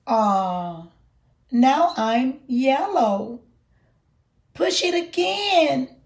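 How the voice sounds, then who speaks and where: A silly, excitable little male monster from a picture book, acted out by a woman reading aloud. The voice is fairly high and very slow, with exaggerated, drawn-out exclamations and a mischievous streak meant for small children. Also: exaggerated voice. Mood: excited, alarmed.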